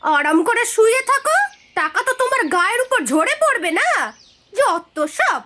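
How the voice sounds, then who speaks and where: Woman playing a very shrill, nagging village wife. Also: very animated, moderate pace, bright, forceful tone, a little hiss or hum, exaggerated voice. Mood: scolding, sarcastic. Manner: complaining, sarcastic. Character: nagging, sharp-tongued village housewife scolding her poor husband. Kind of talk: Bengali cartoon story voice acting.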